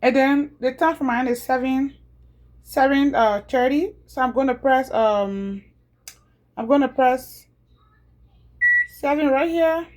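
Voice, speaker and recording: A woman's voice: high-pitched, darkish tone. Liberian woman walking through appliance steps. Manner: chatty, repeats steps slowly. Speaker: home video creator. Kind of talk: casual step-by-step tutorial.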